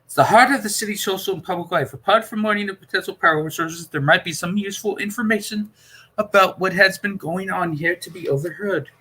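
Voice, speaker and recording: A man's voice: high voice, fairly quick, sharp tone, husky, pitched above the performer's own voice, exaggerated voice. A man voicing a learned, pompous time-traveller in a storybook reading, lecturing in formal, wordy sentences. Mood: explanatory.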